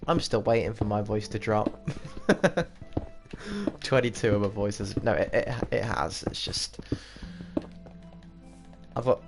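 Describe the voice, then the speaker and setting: A young British man rambles through casual chat over a game session, his voice dipping into a gravelly fry. He laughs often and strays off topic, with game music playing clearly behind him. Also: on the low side, slow, long pauses. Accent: British English. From England. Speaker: gaming streamer.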